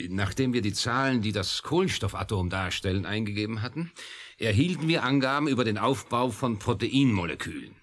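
A low, husky, hesitant male professor. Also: lively intonation, fairly quick, a bit bright. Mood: earnest reporting. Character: hesitant, torn male professor, reasonable mediator. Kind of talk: German radio play acting.

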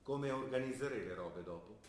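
A man's voice: lowish voice, lively intonation, normal speed, distant mic, slight background noise, close to an everyday voice. An actor playing a skeptical older father, a plain-spoken shopkeeper questioning his daughter. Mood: challenging. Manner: earnest, probing, plain-spoken. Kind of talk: stage play acting. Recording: roomy stage recording, voice from afar.

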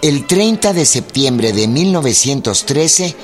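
An actor playing a solemn chronicler, narrating a radio drama. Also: male voice, medium pitch, very animated. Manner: solemn, measured storytelling. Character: solemn chronicler of a revolutionary battle. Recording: loud music under the voice.

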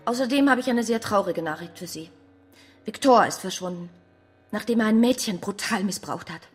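An actress playing a principled, grieving woman who tells her story with measured restraint in a German radio drama, in a breathy voice. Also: mid-range voice, faint music behind, natural voice. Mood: reserved. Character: Caroline, a grieving, principled woman narrating bitterly, devoted to her brother.

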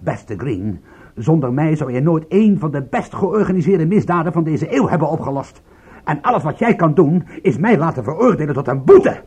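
An actor playing a sardonic, indignant private detective in a Dutch radio drama, with a theatrical delivery. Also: male voice, fairly high pitch, animated, normal speed, a little mellow, slightly rough. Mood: indignant, mocking. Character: sardonic, indignant male private detective, proud of his work.

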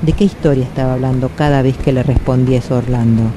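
A woman's voice: extremely low pitch, fairly even intonation, fast, background noise. An actress playing an anguished, self-questioning woman in a radio drama monologue, with a Buenos Aires accent, hesitating and repeating phrases. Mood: anguished, introspective. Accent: Buenos Aires Argentine. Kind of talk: radio drama monologue, introspective first-person storytelling.

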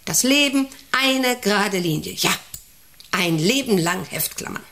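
An actress playing a middle-aged, self-important and intrusive company director in a radio play, rambling and domineering with a creaky voice. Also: female voice, medium pitch, animated, a little slow, fairly bright tone. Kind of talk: radio play monologue and dialogue.

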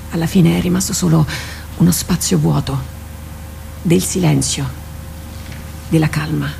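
An actress playing an anguished, loyal army captain in a radio drama, speaking haltingly and with theatrical fervour. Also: female voice, lowish voice, creaky, dark tone, slight background noise, poor audio. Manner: impassioned, halting, repeats phrases for emphasis. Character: anguished female army captain, loyal soldier wrestling with herself.

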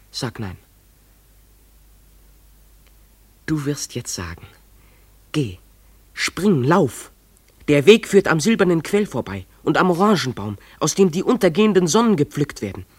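An actor playing a dreamy, defiant runaway schoolboy in a German radio play, speaking in an imploring, declamatory way. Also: male voice, on the high side, slightly rough, no echo, exaggerated voice. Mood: pleading, urgent.

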